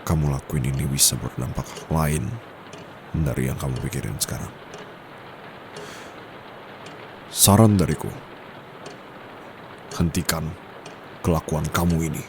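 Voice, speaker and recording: Guy acting as strict young indonesian-accented tutor, deep voice, asmr roleplay, firm n scolding, bit of background noise. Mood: stern warning. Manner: firm, scolding, formal, slips into English phrases. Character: stern young male private tutor, secretly dominant. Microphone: close condenser microphone.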